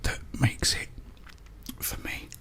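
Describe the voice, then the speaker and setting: Male ASMR host, whispered and breathy, casual meandering delivery, unscripted. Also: slow, a bit bright.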